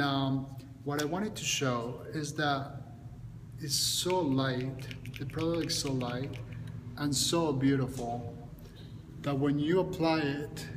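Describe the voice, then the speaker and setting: Hesitant man in a reverberant room. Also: medium pitch. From Colombia. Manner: hesitant, restarts and repeats words. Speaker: makeup artist. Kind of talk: makeup tutorial to camera. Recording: long reverb, faint background hiss.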